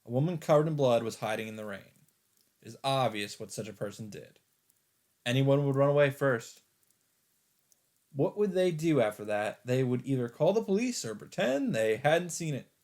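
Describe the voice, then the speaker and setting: A man's voice: medium pitch, animated, moderate pace, a little mellow. A man hosting a let's play, reading a visual novel's narration aloud in a dramatic storytelling style. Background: game music.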